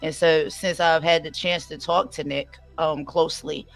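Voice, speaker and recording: A woman's voice: low-pitched, steady tone, normal speed, gravelly, faint music behind. A woman from the United States talking in a reflective, casual way on a live stream, using many fillers. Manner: reflective, filler-heavy, with many "you know"s. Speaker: debate guest.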